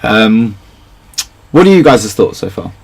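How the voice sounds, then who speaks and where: A man's voice: lowish voice, very animated, a little slow, gravelly, breathy edge. A man hosting a podcast in a casual discussion, giving his opinions in an analytical way. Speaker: podcast host.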